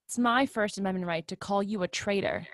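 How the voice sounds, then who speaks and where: A woman's voice: medium pitch, brisk, no room sound, clean studio sound, natural voice. A woman reading an indignant hostile commuter. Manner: indignant, insulting. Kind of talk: readers theatre script reading.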